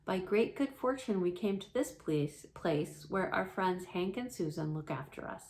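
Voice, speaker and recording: A woman's voice: lowish voice, lively intonation, moderate pace, a little mellow. Woman reading a children's story aloud.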